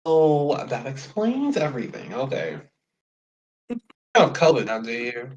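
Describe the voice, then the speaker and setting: A man's voice: mid-range voice, big pitch swings, unhurried. Rambling man hosting a casual podcast. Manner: rambling, swearing, quotes people in playful voices. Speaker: podcast host. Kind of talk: casual interview chat. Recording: dull lo-fi sound.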